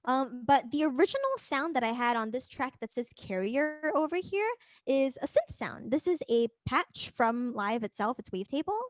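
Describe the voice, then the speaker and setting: High-voiced woman giving an informal demo. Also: animated, moderate pace. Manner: chatty, thinking aloud. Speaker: presenter of a music production workshop. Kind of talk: informal software demonstration.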